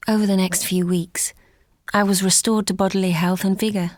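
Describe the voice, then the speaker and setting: An actress playing a haunted, reflective gentlewoman narrating her memories in measured, literary speech, with a soft, breathy voice. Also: medium pitch, dry recording, studio quality, natural voice. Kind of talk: first-person gothic drama narration.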